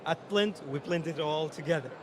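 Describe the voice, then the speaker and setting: A man's voice: on the high side, moderate pace. A man from Saudi Arabia giving a casual interview as a company representative at a trade event. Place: busy exhibition hall. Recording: loud trade show floor noise.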